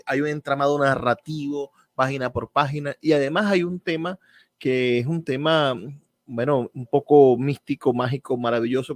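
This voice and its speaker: A man's voice: mid-range voice, dry recording. A man with a Venezuelan accent presenting and interviewing as a radio host, effusive and wordy, repeating words for emphasis.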